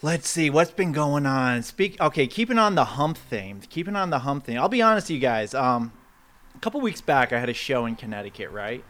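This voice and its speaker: A man's voice: mid-range voice, sing-song, brisk, a bit bright. A man co-hosting a comedy podcast, telling casual stories with joking, mock-announcer asides. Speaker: podcast co-host. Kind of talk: comedic casual storytelling and banter.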